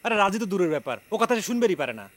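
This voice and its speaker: Very rapid, anxious young village man. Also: high voice, big pitch swings. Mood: worried, reasoning. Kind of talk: Bengali TV comedy drama acting.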